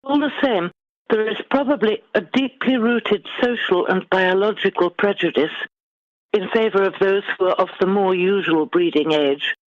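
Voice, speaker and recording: A middle-aged woman guest author speaking in measured, formal, articulate phrases in a literary interview. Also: medium pitch, dry recording. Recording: dull, lo-fi band-limited sound.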